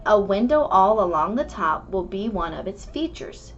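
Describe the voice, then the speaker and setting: A woman reading a rhyming children's book aloud in an expressive, measured storytelling manner. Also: mid-range voice. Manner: animated, measured storytelling delivery. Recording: dull lo-fi recording with background noise.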